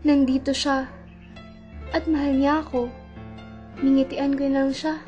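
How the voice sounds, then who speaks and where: A woman's voice: high voice, level tone, measured pace, darkish tone, dull audio, soft background music. A woman with a Filipino accent narrating as a young woman, in a reflective and wistful way. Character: young woman recalling her love, wistful. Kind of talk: scripted narration in audio drama.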